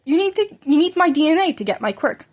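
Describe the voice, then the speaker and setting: Woman doing earnest older-brother-ish hero mentor guy from a story, formal, bit hesitant, high voice. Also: big pitch swings, quick pace.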